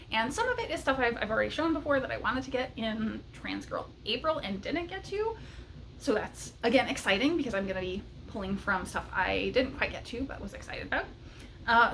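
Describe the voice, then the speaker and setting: A woman's voice: fairly high pitch, a bit bright, rough recording. A woman chatting casually and enthusiastically to camera as a book vlogger, with small giggles breaking into her talk. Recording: noticeable background noise.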